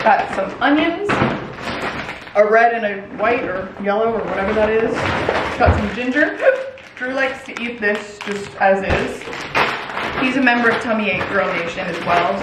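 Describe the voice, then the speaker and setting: Woman, thirties, American accent, casual vlog chatter, rambling, echoey kitchen, background noise. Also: on the high side. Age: 30s. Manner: chatty, rambling, girly slang. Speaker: lifestyle vlogger. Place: home kitchen, indoors. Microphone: camera mic a few feet away.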